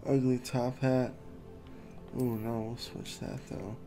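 Laid-back male gamer, mellow tone, casual running commentary, faint game music. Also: mid-range voice, slow. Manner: relaxed, chatty, reacting to the game. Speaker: gameplay streamer.

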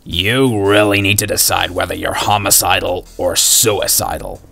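A man acts out a cocky, sardonic schoolboy gunslinger from a video game. The voice is low and gravelly, with slangy, foul-mouthed snark and a caring streak. Music plays behind it. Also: steady tone, moderate pace, pushed and bright, heavily acted. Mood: dry, snarky. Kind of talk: video game character voice acting.

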